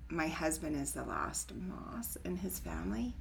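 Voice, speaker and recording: A middle-aged woman hosting a casual conversational interview, telling stories in a chatty, animated, informal way. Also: deep voice, measured pace, creaky, soft background music. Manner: chatty, informal, animated storytelling with frequent "like" and "right?". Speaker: podcast host.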